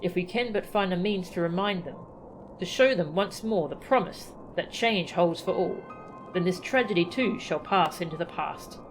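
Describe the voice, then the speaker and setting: A woman's voice: on the low side, moderate pace, close to an everyday voice, rough recording. A woman voicing a loyal, hopeful officer in a fantasy game, formal and earnest. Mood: encouraging. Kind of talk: reading game dialogue aloud. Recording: game music behind the voice.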